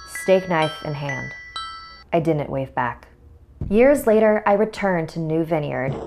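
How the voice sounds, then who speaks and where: Low-voiced woman narrating a horror story. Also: darkish tone. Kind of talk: scripted first-person horror story narration.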